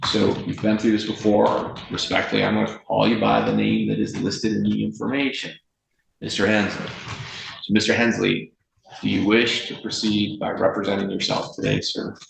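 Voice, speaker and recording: A man with an American accent presiding as a judge, speaking formally for the record in a deliberate, firm and measured way. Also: lowish voice, level tone, creaky. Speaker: judge presiding over a court hearing. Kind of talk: formal courtroom speech on the record. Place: indoor courtroom. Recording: roomy echo, faint noise, courtroom audio.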